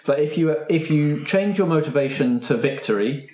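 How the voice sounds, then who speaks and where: A man's voice: on the high side, lively intonation, moderate pace, dark tone, a little hiss or hum. A man hosting an improvised tabletop game as game master, prompting players and giving rulings. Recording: roomy, echoing room sound.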